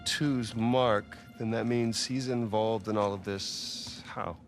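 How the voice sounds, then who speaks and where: Cocky treasure hunter, eager, breezy, sardonic, cinematic game dialogue, clear music underneath. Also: male voice, lowish voice, steady tone, slow. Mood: intrigued. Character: cocky, eager adult male treasure hunter, older brother. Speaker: video game voice actor.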